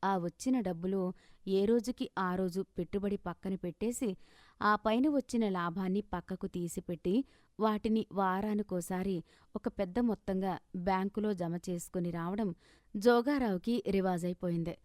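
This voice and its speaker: Woman narrating a moral story, medium pitch, expressive and measured, super dry recording. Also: dry recording.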